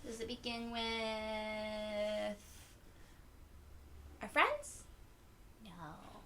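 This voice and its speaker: A woman reading a picture book aloud to young children in a gentle, encouraging way, speaking directly to them. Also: mid-range voice, steady tone, very slow, slight background noise. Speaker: children's read-aloud storyteller.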